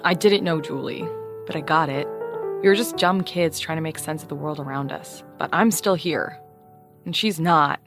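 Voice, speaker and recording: An actress playing a skeptical, guarded podcast journalist in an audio drama, speaking in a sardonic, exasperated, naturalistic way. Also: female voice, low voice, normal speed, music in the background. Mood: bitter.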